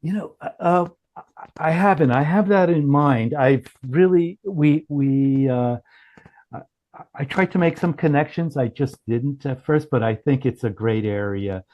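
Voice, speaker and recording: A man presenting as a company founder in a business pitch on a group call, speaking haltingly with frequent restarts. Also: no echo, muffled recording. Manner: halting, often restarts phrases. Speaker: startup founder presenting his product.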